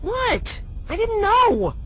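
An eager teen hero from a video game. He sounds youthful and earnest, with a very lively melody to his casual speech. Soft music sits underneath. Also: extremely high pitch, very slow, heavily acted. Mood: defensive, surprised. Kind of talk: video game cutscene acting.

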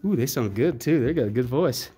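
A man's voice: mid-range voice, expressive, a little fast, mellow, faint music behind. A man narrating a casual off-the-cuff vlog, rambling and thinking aloud in a folksy way. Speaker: vlogger exploring a cave. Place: cave trail.

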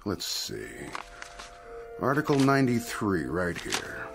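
A sly polite devil salesman, oily n formal, super animated pitch, radio drama. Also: slow pace, creaky, over music, exaggerated voice. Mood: silky, explaining. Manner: unctuous, formal, wordy. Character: suave, devilish male dealmaker, slyly courteous.

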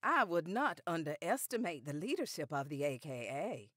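A woman's voice: sits low, very animated, moderate pace, a bit bright, no echo, clean studio sound, heavily acted. An actress playing a poised, formidable older activist lady of the 1910s with formal, precise diction in a radio drama. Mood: firm, measured. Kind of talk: period radio drama acting.

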